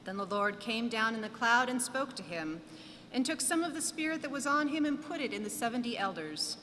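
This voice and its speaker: A woman's voice: fairly high pitch, lively intonation, fairly quick, sharp tone. A woman narrating a scripture reading expressively in church. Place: large church indoors. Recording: reverberant church, audible noise.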